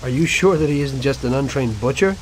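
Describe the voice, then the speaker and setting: A man's voice: mid-range voice, fairly quick, slight background noise, lightly acted, low-quality recording. An actor voicing a loyal, streetwise mafia henchman in a video game cutscene, terse and plain-spoken. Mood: wary. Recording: music behind the voice.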